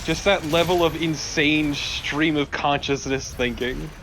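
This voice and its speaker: A man's voice: fairly high pitch, steady tone, a little slow, noisy background. A man bantering casually and wryly as co-commentator on a video game. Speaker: let's play co-commentator. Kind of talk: casual banter over gameplay.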